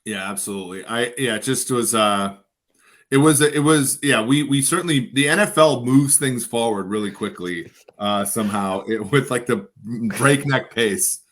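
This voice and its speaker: A man's voice: medium pitch, moderate pace, a bit bright. A man in his thirties, a podcast guest, talking football in a casual, joking way with frequent stammered restarts. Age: 30s. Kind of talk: casual sports chat.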